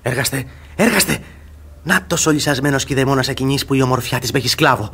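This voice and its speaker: An actor playing an ardent young gallant in a classical radio comedy, speaking in an effusive, courtly, theatrical way. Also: male voice, medium pitch, fairly bright tone, slightly rough, faint music behind. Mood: scheming.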